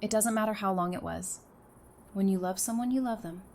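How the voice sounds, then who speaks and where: A woman's voice: mid-range voice, fairly quick, a little mellow, natural voice. A woman acting a caring, blunt wife and mother with casual, wry banter in an audio drama. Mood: sympathetic. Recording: noticeable background noise.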